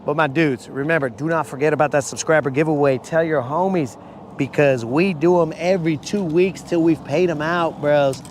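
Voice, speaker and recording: A man hosting a live fishing stream from a boat, talking casually with viewers in a hoarse voice. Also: fairly high pitch, normal speed, slight background noise. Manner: casual, slangy, calls viewers bro. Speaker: fishing channel host. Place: outdoors on a boat on a lake.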